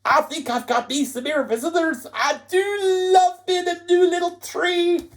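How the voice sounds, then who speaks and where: A woman's voice: extremely high pitch, fairly even intonation, a little slow, voice pushed up. Woman doing Tatty Bogle, chirpy rustic scarecrow. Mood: delighted. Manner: broad country dialect, boisterous and chirpy. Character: cheery rustic male scarecrow turned little tree.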